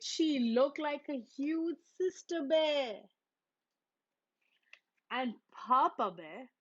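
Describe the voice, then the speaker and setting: Slow-reading woman storyteller, muffled recording. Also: fairly high pitch. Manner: expressive, acts out the characters' lines. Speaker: storybook reader. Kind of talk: read-aloud children's storytelling.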